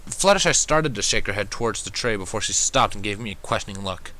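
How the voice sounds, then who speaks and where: A man's voice: lowish voice, animated, fast, bright, forceful tone, rough recording. A man narrating a first-person fan fiction story in a wry, dramatic manner.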